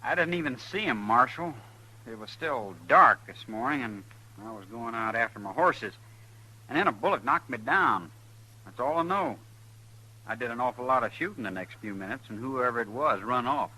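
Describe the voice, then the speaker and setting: An actor playing a modest, stoic young rancher with a husky voice in a 1950s radio western. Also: male voice, medium pitch, animated, moderate pace, bright, forceful tone, low-quality recording. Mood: stoic, subdued. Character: nice young male rancher, stoic, wounded.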